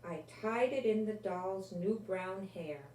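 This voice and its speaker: Slow-paced older woman reading a storybook. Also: mid-range voice. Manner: slow, deliberate, expressive storytelling. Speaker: grandmother reading a children's book aloud. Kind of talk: storybook read-aloud with asides. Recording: roomy, distant microphone, faint background noise.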